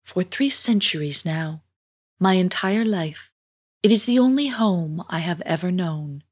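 Woman as a gentle, breathy storybook mermaid. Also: fairly low pitch, animated, measured pace. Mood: calm.

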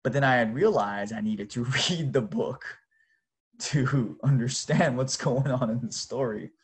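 A man's voice: mid-range voice, measured pace. A man whose native language is Japanese, chatting casually to his listeners in an informal, exclamatory way. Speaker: host.

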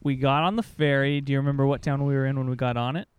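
A man's voice: mid-range voice, fairly even intonation, rapid-fire, very noisy. A man in his thirties hosting a casual family podcast, patiently guiding his children with questions. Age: 30s. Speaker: podcast host, father.

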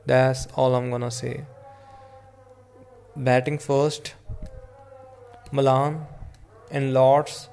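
A man with an Indian accent giving casual solo sports commentary as a podcast host, in a rambling, halting way. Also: mid-range voice, little pitch movement, gravelly, darkish tone, slight background noise.

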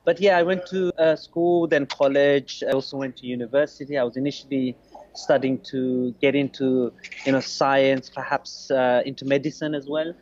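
A man in his forties from Bangladesh, a podcast guest telling his story in a relaxed, hesitant way. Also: mid-range voice, normal speed. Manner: hesitant, restarts, many "you know"s. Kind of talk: casual interview answers. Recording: lo-fi muffled sound.

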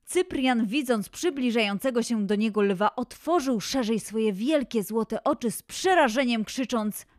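A woman narrating a scripted children's story in an expressive, dramatic way. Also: fairly high pitch, measured pace, hardly any pauses, no room sound, clean studio sound. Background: music.

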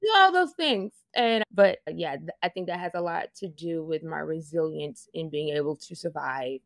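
Earnest Louisiana-accented woman, low voice. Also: animated, normal speed, vocal fry, no echo. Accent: Louisiana Southern. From Louisiana, USA. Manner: earnest and reflective, laughs often, restarts phrases. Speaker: interview guest, fashion project manager. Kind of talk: casual conversational interview.

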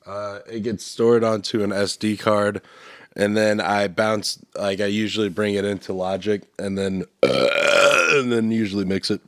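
A man's voice: on the low side, a little slow, fairly bright tone, no room sound, studio quality. A young man hosting a podcast, talking in crude, blunt, mocking casual banter. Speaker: podcast host. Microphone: studio podcast mic.

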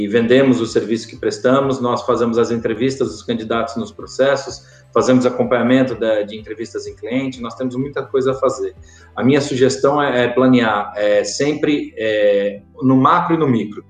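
A man with a Brazilian accent, a podcast guest talking casually, often pausing with fillers and restarting his sentences. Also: medium pitch, roomy sound. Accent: Brazilian Portuguese. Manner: hesitant, many fillers and restarts. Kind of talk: casual interview chat.

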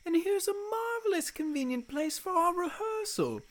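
A woman's voice: very high voice, expressive, normal speed. A woman playing an earnest, fussy male director of an amateur troupe, expressively acted for a Shakespeare radio play. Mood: brisk.

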